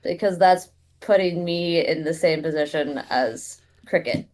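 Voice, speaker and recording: Female tabletop player, casual and exasperated, blunt delivery, very unhurried, pitch a bit low. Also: a little mellow. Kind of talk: casual game chat.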